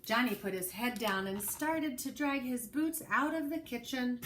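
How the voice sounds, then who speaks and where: A woman teacher reading a children's storybook aloud expressively, pausing to explain things and put questions to the listener. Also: on the high side, fairly bright tone, slight background noise. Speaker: early learning teacher.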